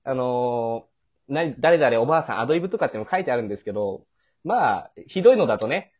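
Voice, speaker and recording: Animated, quick-talking man hosting. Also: medium pitch. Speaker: host and producer of an audio drama. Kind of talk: casual backstage chat, hosting. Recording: slightly muffled, lo-fi voice call.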